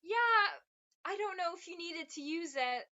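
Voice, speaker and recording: A woman's voice: extremely high pitch, normal speed, fairly bright tone, muffled recording. A woman playing an anxious, loyal young camper in a staged reading, worried and questioning. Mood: uneasy.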